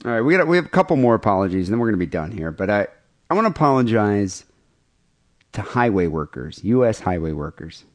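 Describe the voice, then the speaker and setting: A man's voice: lowish voice, very animated, fast talker. A man hosting a comedy podcast with casual, irreverent banter and mock apologies. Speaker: podcast host.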